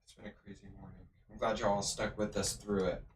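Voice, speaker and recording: A co-host making quiet, brief side comments. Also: deep voice, little pitch movement, fairly quick. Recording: distant from the mic.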